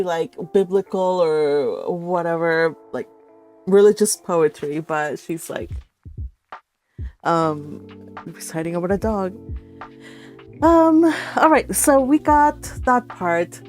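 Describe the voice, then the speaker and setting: A woman hosting a read-along, talking casually about a book in a giggly, animated, rambling way. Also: fairly low pitch.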